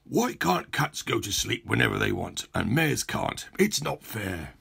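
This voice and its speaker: A man's voice: on the low side, very animated, measured pace, creaky, fairly bright tone, slightly rough, heavily acted. A man voicing a pompous, self-important male town mayor who complains indignantly, in a storybook reading. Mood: whiny.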